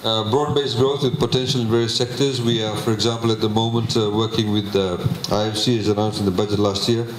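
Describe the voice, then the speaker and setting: Guy giving a public talk, slow with lots of uhs, kinda low voice, echoey hall. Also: flat delivery, a little hiss or hum. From Fiji. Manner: measured, many filler pauses. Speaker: government minister presenting a budget. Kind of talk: public presentation with slides. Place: public consultation meeting hall. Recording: echoey hall, faint ambience.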